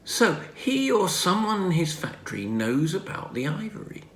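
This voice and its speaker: A man's voice: high voice, big pitch swings, normal speed, a bit bright, voice pushed up. A man voicing a helpful, puzzled father in a children's storybook, musing as he talks. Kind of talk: children's storybook character reading. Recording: roomy home recording, faint noise.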